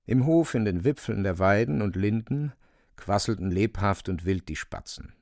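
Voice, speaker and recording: A male narrator reads literary prose in a measured, matter-of-fact manner. His voice is low and gravelly, set in a dry studio. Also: lively intonation, a little mellow, no echo.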